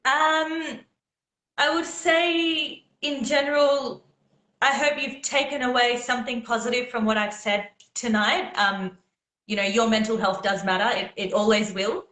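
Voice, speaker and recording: High-voiced woman, earnest and encouraging. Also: steady tone, unhurried, a bit bright, echoey room, rough recording. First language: Gujarati. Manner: earnest, encouraging, laughs often. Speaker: guest singer. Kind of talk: casual live video chat.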